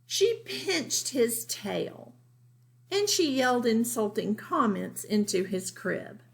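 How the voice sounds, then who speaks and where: A woman reading a picture book aloud as a teacher, deliberate and emphatic, for young children. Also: on the high side, big pitch swings, creaky. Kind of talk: picture-book read-aloud with informal asides.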